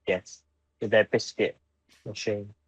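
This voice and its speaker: A man's voice: on the low side, level tone, takes it slowly, husky, no room sound, dull audio. A man co-hosting a podcast, chatting casually as he reviews a story. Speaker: podcast co-host.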